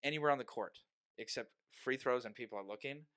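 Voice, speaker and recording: A man's voice: medium pitch, quick pace, a bit bright, clear, resonant tone. A young man hosting a podcast in casual chat, rambling and joking, often breaking off mid-sentence. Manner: rambling, self-interrupting, joking.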